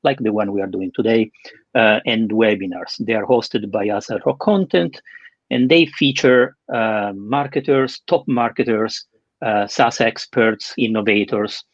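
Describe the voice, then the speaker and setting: Male webinar host and interviewer, husky voice, muffled close-sounding recording. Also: on the low side, measured pace, no echo. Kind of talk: hosting an online interview.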